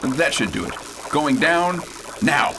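An actor playing a folksy, bold starship pilot in a space opera radio drama, talking in breezy old-fashioned slang and giving orders. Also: male voice, expressive, slow. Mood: brisk, commanding. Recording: clearly noisy, engine rumble behind.

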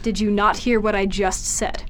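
A woman's voice: medium pitch, little pitch movement, normal speed, lightly acted. A woman playing a cold, unrepentant killer in an audio drama, mocking and condescending in manner. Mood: cold, self-righteous. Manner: mocking, condescending, self-righteous. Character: cold, taunting female killer, unrepentant former comrade.

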